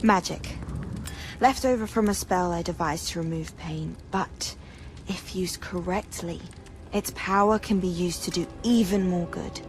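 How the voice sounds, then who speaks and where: An actress playing a resolute, grieving witch scholar, calm and persuasive, in a video game cutscene. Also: fairly low pitch, measured pace. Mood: guarded, persuasive.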